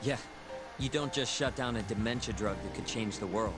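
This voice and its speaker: An actor playing a cocky, easygoing young informant in a crime drama game, talking in a casual, teasing way. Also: male voice, on the low side, moderate pace, natural voice. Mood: smug. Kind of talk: video game voice acting, conversational. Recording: music behind the voice.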